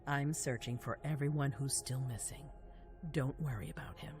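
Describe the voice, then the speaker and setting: An actress playing a composed, calculating vampire ruler in a video game cutscene, measured and authoritative. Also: female voice, extremely low pitch, fairly even intonation. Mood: commanding, measured. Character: commanding vampire prince woman, composed, calculating, regal. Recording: faint music behind.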